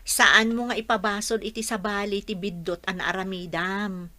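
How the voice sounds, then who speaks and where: A woman's voice: mid-range voice, steady tone, normal speed, pushed and bright, dry recording, lightly acted. A woman acting a frank, admonishing villager in an Ilocano radio drama.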